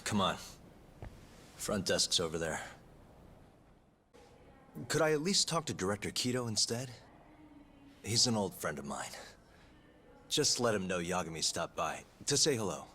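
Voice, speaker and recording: A brooding game detective, determined, low voice with a bright hard edge. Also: male voice, sing-song, unhurried, pauses often, a little hiss or hum, exaggerated voice. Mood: firm. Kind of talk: English video game dub acting.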